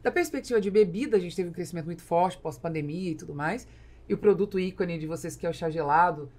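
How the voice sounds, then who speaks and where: A Brazilian-accented woman interviews as a podcast host in a fairly low voice. She talks conversationally and explains at length, linking one point to the next before asking. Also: quick pace, darkish tone. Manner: explanatory, long linked questions. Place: small foam-padded indoor podcast studio. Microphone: studio mic on boom arm.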